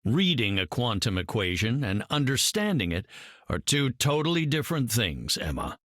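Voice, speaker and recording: An actor playing a self-assured genius scientist hero in a video game, precise and mildly condescending. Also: male voice, mid-range voice, sing-song, normal speed, a bit bright, dry recording, clean studio sound. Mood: superior.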